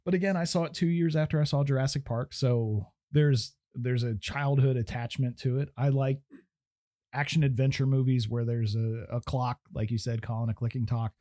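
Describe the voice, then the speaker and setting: A man's voice: mid-range voice, steady tone, moderate pace, warm tone, dry recording, clean studio sound. A man co-hosting a podcast, talking easily and fondly about a movie in casual chat. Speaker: podcast co-host.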